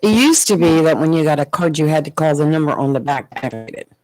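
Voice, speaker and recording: A woman's voice: low voice, expressive, fast talker, darkish tone, no echo, faint music behind, poor audio. A middle-aged or older woman, chatting casually as a radio co-host. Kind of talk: casual morning radio banter.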